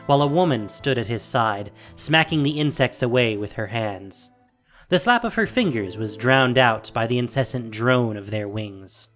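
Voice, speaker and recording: Animated male fantasy narrator. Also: medium pitch. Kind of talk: scripted dramatic fantasy narration.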